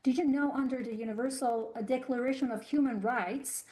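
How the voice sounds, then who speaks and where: Earnest woman guest, halting speech. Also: high voice, fairly even intonation, a little mellow. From Iran. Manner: earnest, halting with frequent fillers and restarts. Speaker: guest commentator on human rights. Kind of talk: news interview.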